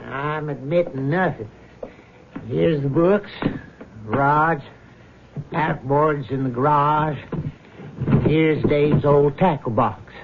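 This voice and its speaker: An actor playing a blunt, defensive accomplice in a 1970s radio drama, talking curtly. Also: male voice, fairly high pitch, slow pace, pauses often, narrow, tinny audio. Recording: noisy, thin vintage radio recording.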